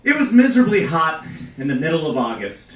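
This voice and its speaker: A man reading a comedic story aloud to a live audience with a deadpan, deliberate delivery. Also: on the high side. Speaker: reader at a live storytelling show. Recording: roomy live venue, distant mic.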